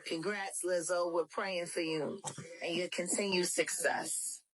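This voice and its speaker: A woman's voice: fairly low pitch, steady tone, measured pace, a crowd in the background, low-quality recording. A woman who says she has a husband and children, giving sassy, mocking commentary with lots of emphasis. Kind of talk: animated commentary.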